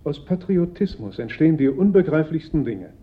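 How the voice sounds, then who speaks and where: An excitable, shrewd art dealer in a radio drama. His highish, husky voice swings widely in pitch, with theatrical, teasing pauses. The recording is somewhat muffled. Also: noisy background. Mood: pensive, determined. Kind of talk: German radio play acting.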